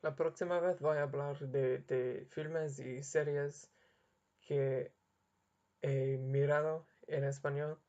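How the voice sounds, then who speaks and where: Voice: medium pitch, steady tone, slow, warm tone, dull audio. A young adult language learner talking unscripted and searching hard for words, often restarting and correcting themselves. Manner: apologetic about own mistakes. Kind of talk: unscripted spoken monologue to camera.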